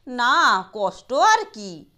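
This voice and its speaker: Woman doing a grumpy sick daughter in an audio story, high n sharp, curt, bitter. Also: very animated, extremely slow, exaggerated voice. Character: irritable, bitter bedridden daughter of a working mother.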